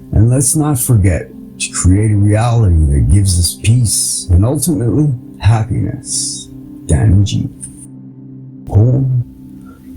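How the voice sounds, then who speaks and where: A man narrates an audiobook in a fairly low voice, reading reflective memoir prose and verse from a script. His intonation moves around a good deal, rising and falling through the phrases. Music plays clearly behind him. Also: poor audio. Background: background music.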